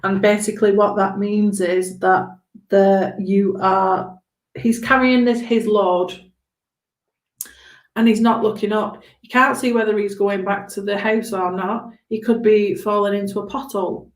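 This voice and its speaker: A woman hosts a live tarot reading in an echoing room. She speaks slowly at a middling pitch, in a halting, reassuring way as she hands out advice. Her voice is a little hoarse from long talking. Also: little pitch movement. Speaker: tarot reader hosting a live stream. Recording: roomy, echoing room sound.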